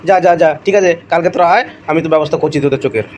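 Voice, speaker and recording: A man plays a crooked cartoon quack doctor who brags and blusters. The voice is high for a man and races along very quickly, with some noise behind it. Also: a little mellow, dull audio, lightly acted. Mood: indignant, scheming. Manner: bragging, blustering. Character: boastful shady quack doctor, male, comically crooked. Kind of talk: comic cartoon dialogue.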